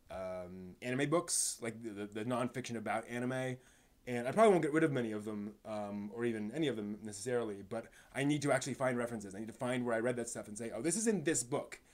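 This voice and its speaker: A man hosting a casual live stream, speaking in a halting, rambling way and stumbling over his words, sounding somewhat tired. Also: medium pitch. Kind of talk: casual live stream chat, answering chat questions.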